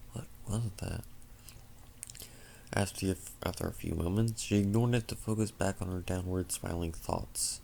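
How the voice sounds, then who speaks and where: Deep, creaky-voiced tired man reading a story. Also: mellow. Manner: halting, stumbling over words. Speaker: narrator reading fan fiction. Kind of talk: amateur story narration with asides. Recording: faint background noise.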